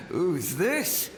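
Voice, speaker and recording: A man's voice: on the high side, creaky, exaggerated voice. A man voicing a menacing, taunting swordmaster spirit hungry for battle in a video game. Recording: echoing hall reverb with faint noise.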